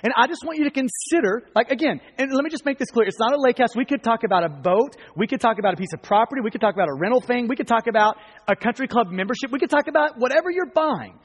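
A man's voice: extremely high pitch, animated, fast talker, breathy edge. An American man preaching a sermon to a congregation in an earnest, emphatic manner, repeating phrases for weight. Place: large reverberant room, live before a congregation. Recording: long hall reverb.

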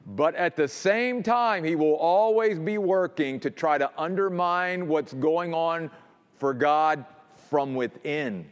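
A man preaching a sermon as pastor, emphatic, dropping low and quiet to stress points. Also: in a large hall.

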